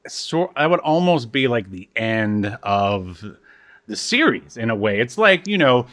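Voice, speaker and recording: A man in casual podcast chat, recapping as co-host in a halting, hesitant way with trailing asides. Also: medium pitch, very animated, fairly bright tone.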